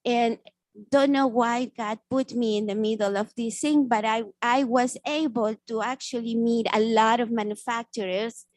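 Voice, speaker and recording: A woman from South America pitching her startup to judges, halting and repeating words as she answers. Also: fairly even intonation, a little mellow, no room sound.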